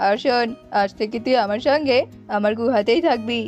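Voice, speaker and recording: A woman's voice: fairly high pitch, fairly quick, a little mellow, pitched below the performer's own voice, close to an everyday voice. Woman voicing a bossy, greedy cartoon fox uncle. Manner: commanding, menacing, taunting. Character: greedy, bullying male fox uncle hungry for chicks. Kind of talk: children's animated folk-tale acting. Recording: dull lo-fi audio with music behind.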